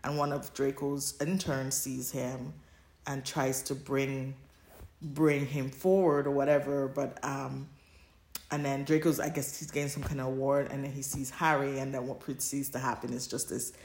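A woman with a low voice talks casually as a podcast co-host. She retells things in an animated, conversational way. The recording is roomy and echoey. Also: moderate pace. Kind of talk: casual chat about fan fiction.

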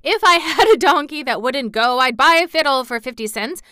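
A woman's voice: high voice, expressive, normal speed, sharp tone, no room sound, clean studio sound, voice pushed up. A woman voicing a boy who rambles through endless, breathless nonsense speeches. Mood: pompous.

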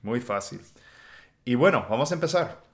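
A man with an American accent hosting a casual podcast in Spanish, relaxed and quick to laugh. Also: medium pitch. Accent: American English. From United States. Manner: relaxed, unhurried, laughs easily. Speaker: podcast host. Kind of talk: casual chat.

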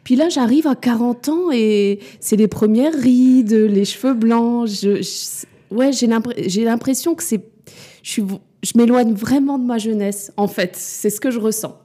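A woman's voice: on the high side, measured pace, mellow, breathy edge. A woman in her forties, a mother and business owner, answering interview questions candidly, hesitant and reflective, with frequent fillers and restarts. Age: 40s.

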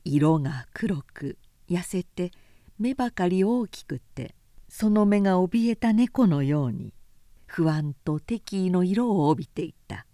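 A woman reading literary fiction aloud as a narrator, in a measured, composed and articulate way. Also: fairly low pitch, animated, mellow, no room sound, studio quality. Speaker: narrator, reader.

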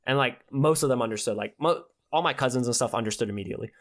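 Male, twenty, medium pitch, earnest and slangy in casual conversation. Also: rapid-fire. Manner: slangy, earnest, with frequent "like" and "bro". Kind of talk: casual chat.

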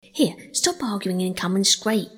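A woman voicing an excitable male rat from a children's storybook, caring but bossy, with an eager, emphatic, exasperated manner. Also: mid-range voice, unhurried, breathy edge. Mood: impatient despair.

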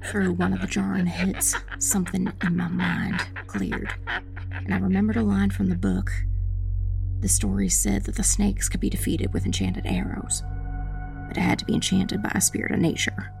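A woman playing a determined witch who narrates a dark fantasy audiobook in an intense, dramatic, breathy voice with heavy creak. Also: lowish voice, expressive, normal speed, soft background music. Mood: tense, weary. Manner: intense, hushed, dramatic first-person narration. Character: determined young witch telling her own battle.

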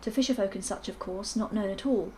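A woman's voice: medium pitch, a little fast, darkish tone, slight background noise, lightly acted. A woman voicing a prim, fussy male professor who is a firm skeptic. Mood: lecturing. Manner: precise, prim, slightly pompous.

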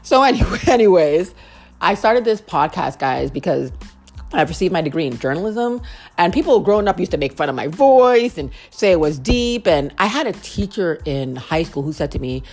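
Low-voiced young woman, earnest podcaster. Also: very animated, normal speed, a little mellow, faint music behind. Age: young adult. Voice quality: low-toned voice. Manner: earnest, repeats phrases for emphasis. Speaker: podcast host. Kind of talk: casual heartfelt monologue.

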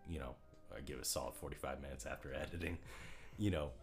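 Podcast co-host, young man, very deep low voice, rambling and self-repeating, faint music under it. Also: fairly even intonation, fast. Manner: rambling, repeats words when starting sentences, laughs often. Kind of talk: casual podcast chat.

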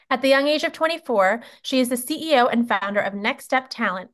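Upbeat woman hosting a podcast. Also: on the high side. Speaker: podcast host. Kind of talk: interview podcast.

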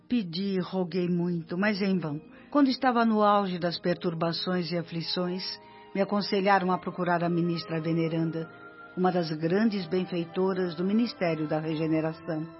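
An actress playing a devoted, humble spirit nurse in a Brazilian radio drama, speaking in an earnest, confiding way. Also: female voice, fairly low pitch, fairly even intonation, normal speed, close to an everyday voice. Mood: confiding, wistful. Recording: dull lo-fi radio recording, music behind.

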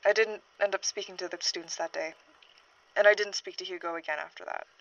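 A woman's voice: on the low side, fairly even intonation, a bit bright. Troubled woman academic, on dull tape. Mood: troubled, earnest. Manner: earnest, halting, uneasy. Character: troubled female university biologist reporting a missing colleague. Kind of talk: horror audio drama, witness statement.

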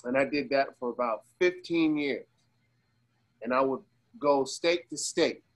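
A male interview guest from Las Vegas speaks in a mid-pitched voice while ill with pneumonia. His talk is casual and slangy, halting as it goes, and he repeats words for emphasis. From Las Vegas, USA. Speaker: guest, socialist activist.

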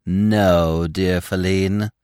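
A man voicing a naive, boastful young male deer in a storybook, with a calm, self-satisfied manner. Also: sits low, extremely slow, smooth, no echo, studio quality. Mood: proud, boastful. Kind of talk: storybook character voice.

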